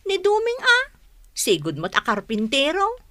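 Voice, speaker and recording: A bright, eager daughter. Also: female voice, high-pitched, wildly swooping pitch, measured pace, dry recording, heavily acted. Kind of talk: Ilocano radio drama acting.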